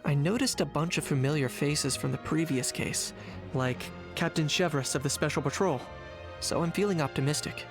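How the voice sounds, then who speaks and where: A man's voice: fairly high pitch, moderate pace, lightly acted. A man voicing a young, pragmatic investigator in a video game, dry and matter-of-fact. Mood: calm, determined. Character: cool, pragmatic young male investigator, dryly understated. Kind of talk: video game dialogue acting. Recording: game music behind the voice. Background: background music.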